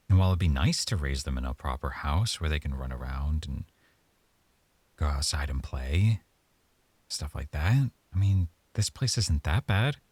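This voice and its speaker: A man playing an affectionate, hesitant young boyfriend in an intimate ASMR roleplay, speaking softly and haltingly as he thinks aloud. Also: extremely low pitch, husky, no echo, exaggerated voice. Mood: tender, reassuring.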